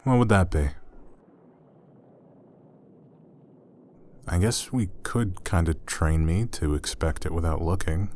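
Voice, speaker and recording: A man's voice: low-pitched, a little mellow. A man playing an easygoing, teasing, slightly flustered roommate in a conversational ASMR roleplay. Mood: hesitant, agreeable. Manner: casual, hesitant, self-correcting, dry teasing.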